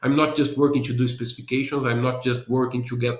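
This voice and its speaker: A man's voice: medium pitch, flat delivery, quick pace. Brazilian-accented man in an echoey room. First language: Portuguese. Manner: earnest, explanatory, thinks aloud with restarts. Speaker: guest, business analysis consultant. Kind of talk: podcast interview.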